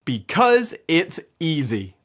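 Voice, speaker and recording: A man's voice: a little high, wildly swooping pitch, slow pace, fairly bright tone, exaggerated voice. A man voicing a whiny, blunt, impatient gamer in comic interjections for a video essay. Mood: blunt, fed up. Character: exasperated, blunt, impatient male gamer heckling the narrator.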